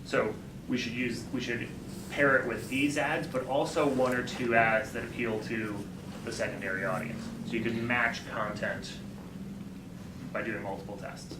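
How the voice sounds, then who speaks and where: A man who founded a startup pitches his company and answers audience questions in a conversational, explanatory way, with quick self-corrections. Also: fairly even intonation. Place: event room. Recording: echoey, distant, noisy room.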